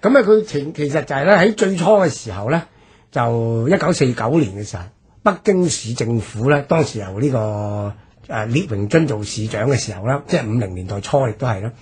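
A male radio presenter with a Hong Kong Cantonese accent explains history in a very husky, rough voice. His pitch moves up and down in an animated way, and he stretches the ends of his phrases as he lays out each point. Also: brisk.

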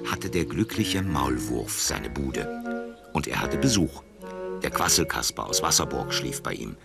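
A man narrates a children's story in an extremely low, creaky voice. His delivery is slow and expressive, over prominent music.

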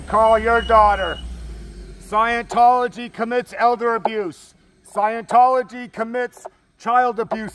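High-pitched man protesting slowly through a bullhorn. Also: a little hiss or hum, rough recording. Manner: loud, declamatory, repetitive. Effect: bullhorn amplification. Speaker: activist protester. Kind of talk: bullhorn protest chanting of slogans. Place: outdoors at a forest roadside.

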